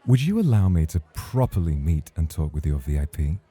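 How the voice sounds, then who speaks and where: A man plays a charming, teasing club champion in a romantic ASMR roleplay. His voice is extremely low, very dark and husky. He talks in a formal, courtly way. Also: big pitch swings, brisk, full character voice. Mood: teasing, polite. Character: suave, teasing champion male club host, smoothly charming.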